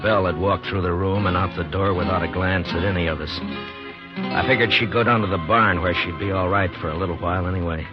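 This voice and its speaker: An American man playing a stoic, weary marshal in a 1950s radio western, grim and deliberate, on a dull old recording. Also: deep voice, fairly even intonation, loud music behind the voice, natural voice. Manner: grim, deliberate, hard-boiled. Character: stoic, weary male frontier marshal narrating his ordeal. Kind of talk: 1950s radio drama narration and acting.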